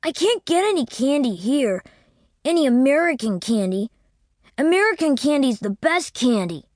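A cheeky young American boy, boastful and loud about his home country, played by a woman reading a storybook. His voice sits high and leaps around with bratty emphasis. It is recorded close and dry. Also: very animated, moderate pace, no echo.

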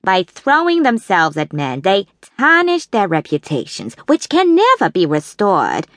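A woman's voice: mid-range voice, wildly swooping pitch, measured pace, no room sound, studio quality. A woman voicing a vain, self-conscious society woman who speaks primly. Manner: prim, agreeing.

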